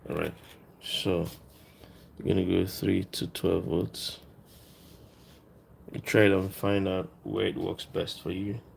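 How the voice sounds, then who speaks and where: A man presenting a casual hands-on electronics tutorial, explaining as he works in a halting, informal way, thinking aloud. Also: low voice, flat delivery, creaky, slight background noise.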